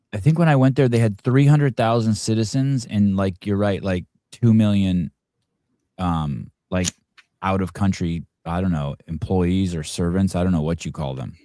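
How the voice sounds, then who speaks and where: A man's voice: lowish voice, unhurried, mellow, no echo. A middle-aged man hosting a live interview, rambling and self-deprecating with many restarts. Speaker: podcast host.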